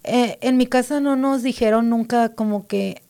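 A woman's voice: on the high side, normal speed. A woman from Mexico, giving a hesitant personal faith testimony as an interview guest. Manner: hesitant, many fillers and restarts, mellow.

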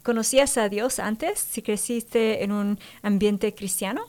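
A woman's voice: mid-range voice, measured pace, darkish tone. Female radio host, faith testimony interview, encouraging, prompts guest with short affirmations.

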